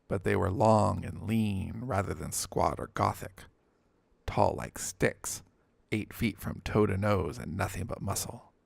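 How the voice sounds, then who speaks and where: A man reads scripted fiction as a narrator. His voice sits fairly low with heavy fry in it, and the recording is close and dry. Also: no room sound. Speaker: audiobook narrator.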